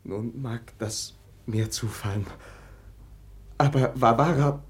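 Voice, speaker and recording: An actor playing a gentle, compassionate young prince, pleading and sincere, in a 1950s German radio drama. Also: male voice, mid-range voice, takes it slowly, slight background noise. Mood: agitated, pleading.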